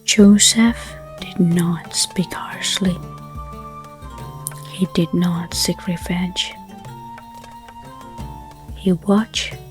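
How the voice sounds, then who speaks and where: A woman narrating a bedtime story in a gentle, soothing, hushed manner, with unhurried phrasing. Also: fairly low pitch, fairly even intonation, whispered. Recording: soft music under the voice. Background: background music.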